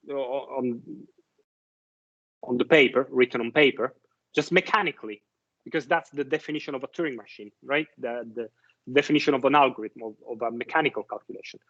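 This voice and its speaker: A man giving a university lecture, explaining ideas haltingly with many restarts and fillers, often checking with his listeners. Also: medium pitch, a bit bright, lo-fi sound.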